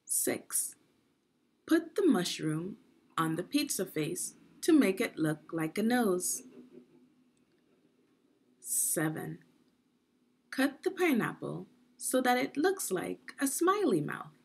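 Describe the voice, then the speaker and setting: A woman reading aloud to children as a teacher would, in a guided lesson style with deliberate phrasing. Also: medium pitch, sing-song, vocal fry, darkish tone, husky. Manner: deliberate teacherly phrasing, asks the listener questions. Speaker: education consultant reading aloud.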